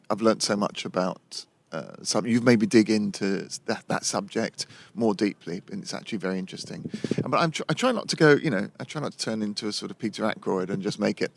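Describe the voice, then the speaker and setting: Man, low voice, gravelly, chatty author, rambling with restarts. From London. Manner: chatty, digressive, many restarts. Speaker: novelist being interviewed. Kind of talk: casual interview conversation.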